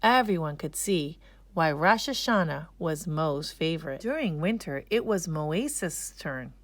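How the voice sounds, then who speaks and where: A woman narrates a children's storybook aloud with very lively intonation. She reads slowly, in a middle-pitched voice with a dry sound free of room echo. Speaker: storybook reader.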